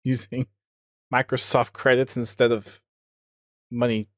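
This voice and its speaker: A man's voice: mid-range voice, flat delivery, measured pace, fairly bright tone, no room sound. Casual male podcast host, dry close sound. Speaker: podcast host and video producer.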